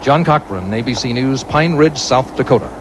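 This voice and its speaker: A man reporting from the field as a news correspondent in a steady, clear reporting voice. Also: medium pitch, moderate pace, noisy background.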